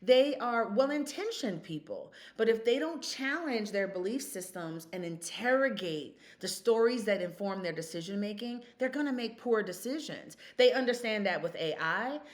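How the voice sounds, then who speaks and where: A woman in her fifties speaking as a podcast guest, emphatic and storytelling, full of rhetorical questions. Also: on the high side. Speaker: guest, consultant. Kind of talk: podcast conversation.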